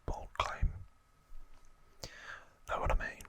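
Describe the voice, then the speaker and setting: A man's voice: slow pace, pushed and bright. A man voicing a boastful, threatening London gangster twin in a put-on Cockney accent, whispering his slangy lines from a game manual. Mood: boastful, menacing. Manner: threatening, slangy, self-important.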